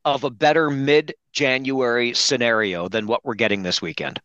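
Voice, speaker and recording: Bright-voiced male sports broadcaster, dry sound. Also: medium pitch, fairly even intonation, normal speed, no room sound, highs cut off. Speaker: hockey play-by-play broadcaster and guest commentator. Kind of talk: sports podcast interview analysis.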